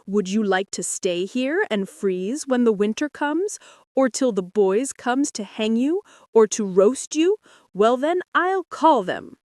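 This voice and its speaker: A woman's voice: fairly high pitch, lively intonation, slow, darkish tone, no echo, studio quality. A woman voicing a patient, firm mother stork in a storybook, instructing and reassuring her young. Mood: firm, threatening.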